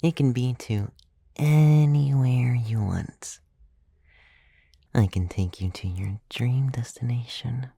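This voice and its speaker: A man playing a gentle, clumsy, centuries-old male vampire in an ASMR roleplay, speaking softly and hesitantly, sometimes dropping into a whisper, with teasing intimacy. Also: mid-range voice, slow, creaky, slightly rough, no echo, clean studio sound, heavily acted. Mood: coaxing, casual. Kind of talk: ASMR roleplay, soft-spoken with whispered stretches. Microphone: close, dry microphone.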